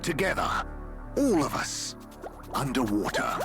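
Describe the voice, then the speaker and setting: An actor playing a slick, salesy announcer for a game's promotional video, with dramatic pauses. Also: male voice, medium pitch, wildly swooping pitch. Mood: hyped promo. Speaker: advertisement narrator. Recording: music loud underneath.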